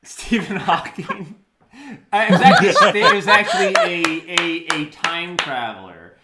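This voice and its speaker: A man's voice: sits high, sing-song, unhurried, very noisy. A man bantering casually as a guest judge on a comedy quiz show. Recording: roomy, slightly echoey sound.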